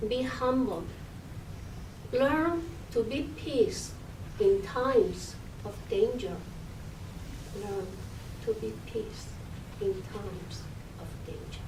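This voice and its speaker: A woman reads a prayerful poem very slowly and solemnly, letting phrases swell and fade. She is heard at a distance in a roomy space with faint noise behind. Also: on the high side. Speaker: poet reading own poem. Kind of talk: prayerful poetry recitation. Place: public library reading room.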